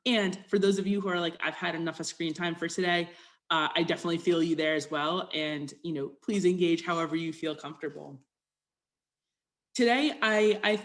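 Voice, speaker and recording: A woman presenting an online workshop over a video call in a conversational, informal way, inviting people to join in. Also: on the low side. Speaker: workshop presenter, health promotion specialist.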